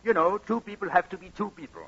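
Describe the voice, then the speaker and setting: Exasperated newlywed husband, old radio comedy, highish voice, excitable, muffled recording w music behind. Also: male voice, quick pace, slightly rough, full character voice. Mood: agitated. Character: exasperated newlywed playwright husband, lovesick and wry. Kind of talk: 1940s radio comedy acting.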